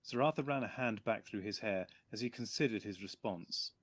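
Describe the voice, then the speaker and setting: A man narrating an audiobook in a measured storytelling manner, with lively character voices. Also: on the low side. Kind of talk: reading an audiobook aloud.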